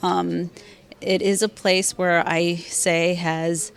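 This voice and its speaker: A woman in her thirties, a former journalist and author, answering interview questions in a measured, thoughtful way with frequent fillers. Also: lowish voice, flat delivery, vocal fry, clear, resonant tone. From Afghanistan, raised in Virginia, USA.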